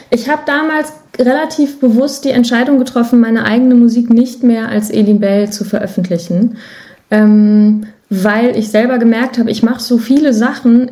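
A woman's voice: a little high, warm tone. A woman speaking candidly and chattily as a guest in a casual interview, readily a little self-deprecating. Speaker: podcast guest, musician and voice artist.

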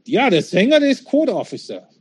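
Male, fifties, non-native German speaker, candid casual storyteller, highly varied intonation, slightly high register. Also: wildly swooping pitch, fairly quick, breathy edge, no echo. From Switzerland, born in Czechoslovakia. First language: not German. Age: 50s. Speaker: interview guest. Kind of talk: casual reminiscing conversation.

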